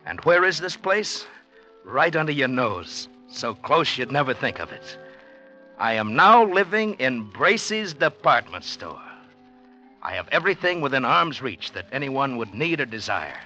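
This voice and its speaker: An actor playing an exultant, secretive, penniless poet, narrating theatrically in a 1940s radio drama. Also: male voice, medium pitch, very animated, measured pace, sharp tone, highs cut off. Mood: exultant, confiding. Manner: theatrical, intense, declamatory. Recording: old 1940s radio broadcast, music behind.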